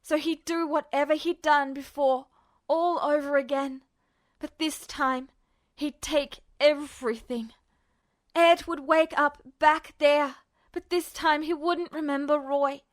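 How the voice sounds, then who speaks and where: High-voiced woman narrating dramatic fiction. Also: steady tone, slow pace. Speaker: podfic narrator. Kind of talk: expressive audiobook narration of fan fiction.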